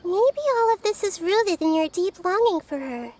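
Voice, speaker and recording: A woman voicing a small, curious childlike goddess in a video game, soft and thoughtful in manner. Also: very high-pitched, lively intonation, moderate pace, slight background noise. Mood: gentle. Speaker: game character.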